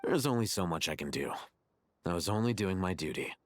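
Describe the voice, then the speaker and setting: A man's voice: low voice, lively intonation, a little fast, no echo. An actor playing a composed young male scholar in a game cutscene, dry and matter-of-fact. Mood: calm, dry.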